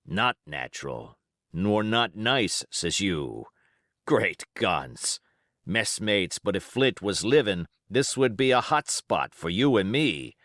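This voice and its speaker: A man's voice: lowish voice, sing-song, slow pace, a bit bright, no room sound, clean studio sound, exaggerated voice. A man voicing a cunning, commanding pirate cook with folksy seafaring dialect and emphatic oaths, in an audiobook. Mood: uneasy. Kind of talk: audiobook character voice.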